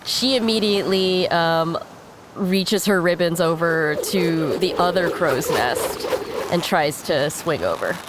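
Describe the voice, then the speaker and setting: Lower-voiced woman tabletop player. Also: slow, heavy background noise. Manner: thoughtful, hesitant, with fillers. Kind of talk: playing a tabletop game.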